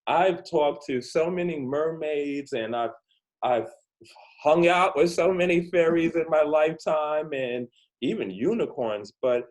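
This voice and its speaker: A man's voice: a little high, measured pace, poor audio. Earnest man hosting a kids' story interview. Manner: earnest, thinking aloud with fillers and restarts. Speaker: host.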